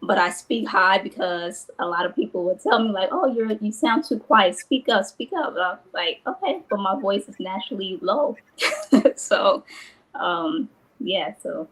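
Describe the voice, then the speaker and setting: A woman's voice: mid-range voice, expressive, a little slow, fairly bright tone, poor audio. Hesitant woman sharing a personal story. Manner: hesitant, many "like" and "um" fillers. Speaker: group member sharing. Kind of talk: personal sharing in a group call.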